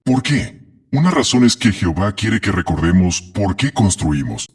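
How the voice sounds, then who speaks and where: A man's voice: low voice, sing-song, moderate pace, darkish tone, breathy edge. A man delivering lines from a prepared religious talk.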